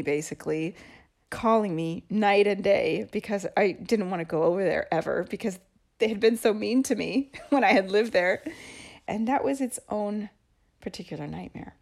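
A woman's voice: mid-range voice, gravelly, darkish tone. Chatty woman telling laughing anecdotes. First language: English. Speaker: podcast host. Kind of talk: casual storytelling monologue.

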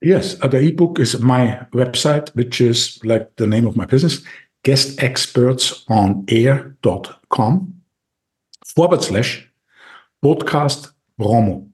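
A man's voice: mid-range voice, lively intonation, takes it slowly. A man with an Austrian accent, a podcast guest talking eagerly in a casual interview, with many restarts and fillers.

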